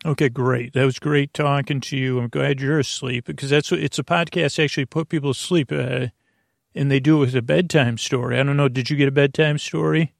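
Creaky-voiced man rambling in a dry room. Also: medium pitch, steady tone, fairly quick, dry recording. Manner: meandering, stammering, trailing off into tangents; whimsical, goofy. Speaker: podcast host. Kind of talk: rambling bedtime podcast monologue.

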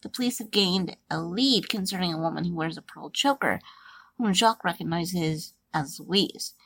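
A woman hosting a podcast, reading a steady plot recap and then chatting loosely with fillers. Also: fairly low pitch, normal speed, husky, dry recording. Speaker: podcast host. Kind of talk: reading a plot summary, then casual commentary.

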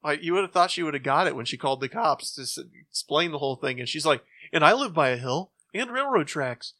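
A man co-hosting a casual chat, animated and given to acting out reactions. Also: on the high side, fast, no room sound.

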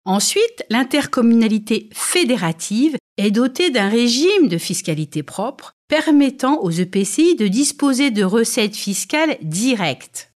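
Female podcast presenter, accent from France, animated intonation, scripted educational read, didactic and orderly. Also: on the high side, a little slow, few pauses. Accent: French from France. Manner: didactic, structured, poses questions then answers them.

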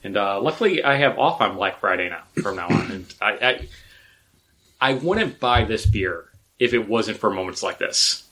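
Bright-voiced man. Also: on the low side, lively intonation, moderate pace, poor audio. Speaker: podcast co-host. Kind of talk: casual chat.

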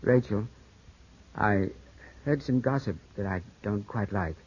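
An actor playing an earnest, anxious young English gentleman weakened by illness, in formal 1950s radio drama style on an old broadcast. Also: fairly low pitch, measured pace, slightly rough. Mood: anxious, questioning. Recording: muffled 1950s radio broadcast, music behind.

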